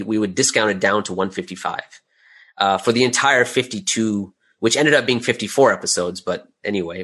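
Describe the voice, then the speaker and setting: Man, lowish pitch, interview guest, casual anecdotal answers, candid conversational delivery. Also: fairly bright tone. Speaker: interview guest, audio drama creator.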